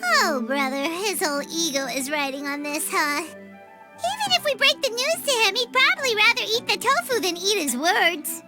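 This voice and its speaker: A woman's voice: extremely high pitch, big pitch swings, normal speed, loud music behind the voice. A woman voicing a tiny, cheeky and outspoken fairy companion in an anime fantasy game. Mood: exasperated. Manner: refers to self in third person, chirpy, blunt.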